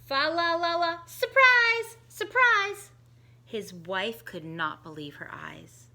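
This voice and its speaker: A middle-aged woman reads a rhyming children's book aloud at an unhurried pace. Her voice is bright and a little high, and it swoops up and down in a sing-song way as she changes voices for the characters. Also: very animated. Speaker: teacher reading a picture book aloud. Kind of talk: storybook read-aloud for children.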